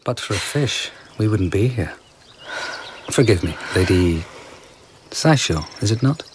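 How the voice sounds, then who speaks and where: A mysterious, charming male storyteller with a very low voice. He spins tales playfully and unhurriedly in radio drama style, with faint insect ambience behind. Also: expressive, measured pace, background noise. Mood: gentle, flirtatious. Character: enigmatic, charming, flirtatious well-travelled male storyteller of uncertain age. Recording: outdoor garden ambience with insect chirps.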